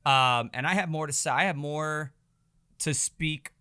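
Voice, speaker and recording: A man co-hosting a podcast in casual chat, a little hoarse, chatty and excitable. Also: a little high, a little slow. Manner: chatty, excitable, self-mocking. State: slightly hoarse. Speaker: podcast co-host.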